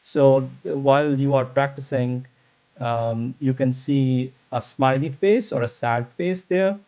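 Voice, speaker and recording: A man's voice: mid-range voice, mellow, dull audio. Hesitant male founder, non-native English. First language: not English. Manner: hesitant, many ums and restarts, slow and thoughtful. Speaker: startup founder, interview guest. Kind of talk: podcast interview.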